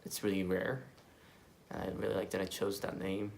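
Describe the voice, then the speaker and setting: A man speaks earnestly in an interview. His voice sits fairly low, with a faint background hiss in the recording. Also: flat delivery, moderate pace, vocal fry, a little mellow. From Korea. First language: Korean. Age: 20s.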